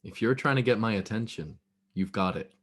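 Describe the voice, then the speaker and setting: A man playing a smooth, flirtatious, henpecked husband in a rehearsed screenplay table read. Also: lowish voice, lively intonation, brisk.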